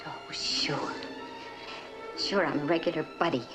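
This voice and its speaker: A woman's voice: sits low, unhurried, over music. An actress playing a jaded, sardonic woman companion in a vintage television drama, with a dry, sarcastic delivery. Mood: bitter, sarcastic.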